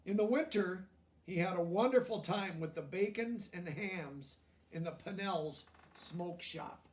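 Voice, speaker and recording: High-pitched man reading aloud, distant from mic. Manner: pauses to explain words and check understanding in plain language. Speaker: storybook reader teaching children. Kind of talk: children's read-aloud with explanatory asides.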